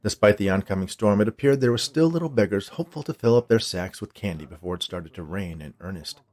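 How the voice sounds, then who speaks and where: A man's voice: fairly low pitch, expressive, fast talker, a little mellow. A man reading a scripted short story aloud as its narrator.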